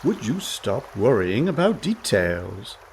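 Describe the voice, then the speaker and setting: A man acting as a cajoling swindler and older brother in a radio comedy. His pitch swoops dramatically as he talks in a glib, theatrical, breezy way. Faint noise sits behind the voice. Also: big pitch swings, takes it slowly, a little mellow. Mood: breezy, teasing. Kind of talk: comic radio play acting.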